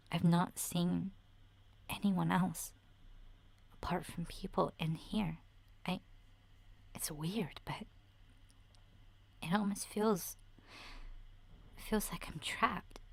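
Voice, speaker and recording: A woman voicing a sensitive young girl survivor in a soft, breathy, often whispered ASMR roleplay, speaking hesitantly and earnestly. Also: fairly low pitch. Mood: trapped, resigned. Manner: hesitant, halting, childlike phrasing.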